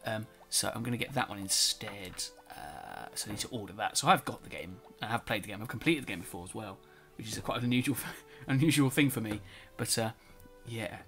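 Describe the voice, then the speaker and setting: A man's voice: fairly low pitch, expressive, fairly quick, few pauses, fairly bright tone. A man giving casual let's play commentary, a bit nasal from a cold, reading game text aloud as he plays. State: slightly nasal, sore throat. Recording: faint music behind.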